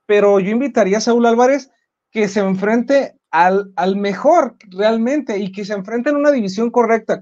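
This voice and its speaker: A man's voice: sits high, a little mellow. Mexican man, sports talk host, opinionated and emphatic, slightly dull lo-fi sound. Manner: emphatic, argumentative.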